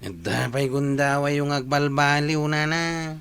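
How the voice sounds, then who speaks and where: A man's voice: a little high, fairly even intonation, a little slow, fairly bright tone, clear, resonant tone. A man playing a frank younger villager who admonishes his elders in a radio comedy drama, with an Ilocano accent. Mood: admonishing, reassuring.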